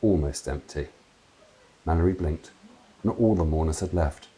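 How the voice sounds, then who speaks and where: A man reads a children's story aloud in an expressive, deliberate storytelling manner. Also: very deep voice. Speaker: children's author reading aloud. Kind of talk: dramatic storybook narration. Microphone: clip-on lapel mic.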